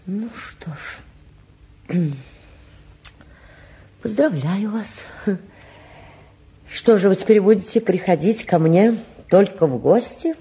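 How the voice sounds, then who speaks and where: A woman's voice: medium pitch, animated, slow, long pauses, warm tone, slightly rough, exaggerated voice. An actress playing a proud, worried middle-aged mother with an emphatic, formal manner in a 1950s Soviet radio drama. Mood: hurt, restrained.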